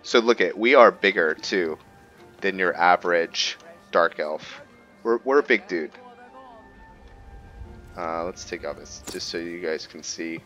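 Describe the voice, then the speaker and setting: A man with a very low voice gives casual gameplay commentary as host. He speaks into a close mic, and game music plays softly behind him. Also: a little slow, pauses often, dull audio. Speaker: gaming host. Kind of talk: casual let's play commentary with roleplay.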